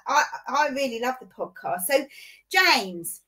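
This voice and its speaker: A woman's voice: high voice, sing-song, measured pace, bright, forceful tone. A woman in her fifties hosting a podcast over a video call, chatting in a rambling, self-deprecating way. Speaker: podcast host. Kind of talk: casual interview chat. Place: home office with bookshelves.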